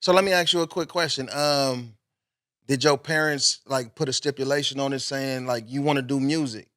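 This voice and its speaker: A man's voice: medium pitch, fairly even intonation, moderate pace, fairly bright tone, no room sound, clean studio sound. A man hosting a podcast, laid-back and slangy, often repeating short affirmations. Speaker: podcast host. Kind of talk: casual podcast chat.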